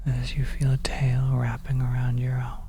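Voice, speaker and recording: A soft, breathy whispering storyteller narrating scripted second-person horror ASMR in a hushed, deliberate way with drawn-out phrasing. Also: mid-range voice, completely flat delivery, background noise.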